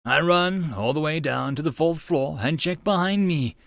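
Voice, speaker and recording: A man narrating a visual novel as a first-person audiobook, expressive and given to informal asides. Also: on the high side, moderate pace, gravelly, dry recording, clean studio sound.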